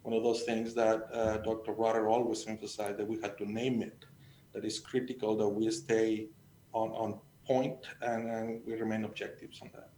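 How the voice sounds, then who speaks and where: Mexican-accented man, even-toned, in an echoey room. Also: normal speed. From Sonora, Mexico. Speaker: panel guest, agricultural extension educator. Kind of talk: conversational personal storytelling. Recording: echoey room, faint noise and rumble.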